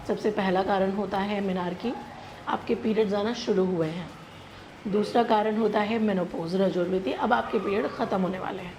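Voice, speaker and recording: Indian female doctor-presenter, mid-pitched, instructive and direct, code-mixing English; slight background noise. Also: darkish tone. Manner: instructive, direct address, English terms and tags like "alright" mixed in. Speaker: gynecologist presenting a health channel. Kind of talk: explanatory medical advice to camera.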